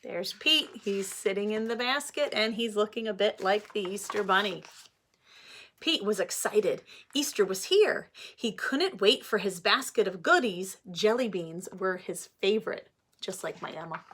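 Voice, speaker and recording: A woman's voice: mid-range voice, animated, unhurried, fairly bright tone, a little hiss or hum. A woman speaking as an early-childhood teacher, explaining things simply to children and parents in a craft and read-aloud lesson.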